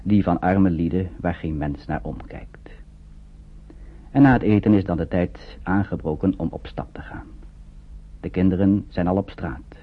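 A man narrates a scripted radio drama in a measured, storytelling way. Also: low voice. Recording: muffled narrow-band old radio recording.

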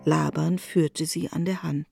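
A woman narrating a literary radio play in an even, solemn storytelling manner. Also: deep voice, unhurried, vocal fry, husky, no echo, clean studio sound, soft background music.

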